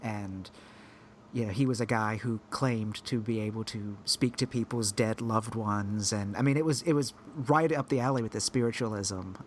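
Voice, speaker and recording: Podcast guy, lowish voice, rambly, keeps restarting, bit of faint noise behind. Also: normal speed. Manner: rambling, self-correcting, thinking aloud. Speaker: podcast co-host. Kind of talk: casual podcast discussion.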